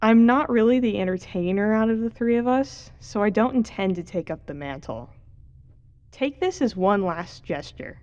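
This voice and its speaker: A woman's voice: medium pitch, lively intonation, moderate pace, a little mellow, lightly acted. Dull lo-fi actress as reluctant young female vlogger. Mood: low-key, explanatory. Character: young female video creator, plain-spoken and reluctant. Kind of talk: vlog-style talk to camera in audio drama. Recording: dull lo-fi video audio.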